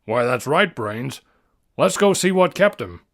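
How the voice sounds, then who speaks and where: A man's voice: mid-range voice, very animated, unhurried, fairly bright tone. A man voicing a commanding older father who leads a rescue team, firm and authoritative, in an adventure story. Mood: concerned, commanding. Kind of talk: audio adventure story character voice.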